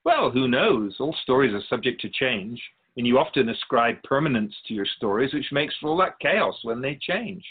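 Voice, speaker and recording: A man playing a wise, patient otherworldly spirit in a radio drama, explaining things in a measured, gently teasing way. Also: mid-range voice, sing-song, breathy edge, phone-quality sound. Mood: musing, playful. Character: Keeper of Souls' Purpose, wise otherworldly spirit, patient and curious.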